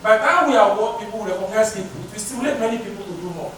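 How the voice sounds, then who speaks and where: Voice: medium pitch, rapid-fire, fairly bright tone, a little hiss or hum. A speaker giving an earnest, rallying conference address in a large hall. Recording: echoey hall from far off.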